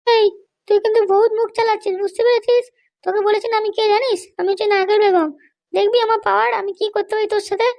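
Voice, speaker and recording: A woman's voice: very high voice, fairly even intonation, fast, vocal fry, darkish tone. A woman voicing a haughty, threatening female political boss in a comedy cartoon, bossy and indignant with a childlike voice. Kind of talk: Bengali comedy cartoon dialogue.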